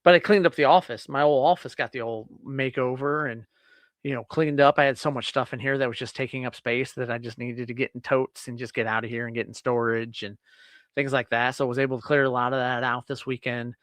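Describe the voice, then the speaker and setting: A man co-hosting a podcast, rambling on at an easy pace from his home office. His voice sits at a middle pitch and he swears now and then. The sound is dry and close. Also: steady tone, fairly quick, fairly bright tone, dry recording. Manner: rambling, laid-back, swears casually. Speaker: podcast co-host. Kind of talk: casual chat.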